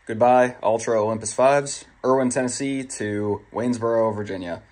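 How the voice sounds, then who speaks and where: A man's voice: mid-range voice, normal speed, darkish tone, dry recording. A young man vlogging from a long-distance hike on a phone held at arm's length, talking in a wry, self-mocking way outdoors. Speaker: thru-hiker vlogger. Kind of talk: casual trail vlog to camera. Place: outdoors on a mountain trail.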